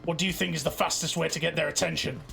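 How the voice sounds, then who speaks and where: A man plays a battle-hardened cyberpunk marshal with a heavily creaking, fairly high male voice. He is gruff and impatient, barking terse orders over an audio drama's music bed. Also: steady tone, rapid-fire, pushed and bright, exaggerated voice. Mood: irritated. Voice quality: gravelly. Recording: music under the voice.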